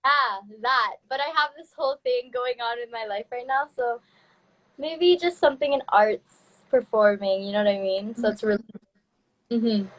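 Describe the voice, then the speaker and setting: Woman in her twenties, fairly high voice, relaxed livestream host on a phone, halting and casual. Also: low-quality recording. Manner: relaxed, hesitant, with fillers and restarts. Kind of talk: casual live-stream chat. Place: home in the Philippines.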